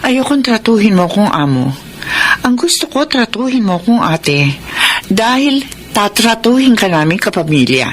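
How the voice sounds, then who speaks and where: A Filipino actress playing a kindly but firm married lady of the house, speaking with measured, big-sisterly authority in a radio drama. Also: medium pitch, very animated, a little hiss or hum. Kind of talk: Tagalog radio drama acting.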